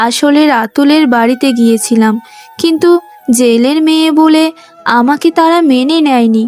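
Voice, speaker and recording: A woman's voice: high voice, a little mellow, lightly acted. A woman voicing an earnest, polite young boatwoman from a poor fishing family in a cartoon. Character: earnest, gentle young village boatwoman, poor fisherman's daughter. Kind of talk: cartoon story dialogue.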